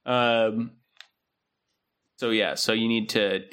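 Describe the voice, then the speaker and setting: A man's voice: fairly low pitch, fairly even intonation, slow, fairly bright tone, smooth. A young man co-hosting a podcast, chatting in a laid-back, rambling way with plenty of ums. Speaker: podcast co-host. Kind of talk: casual chat.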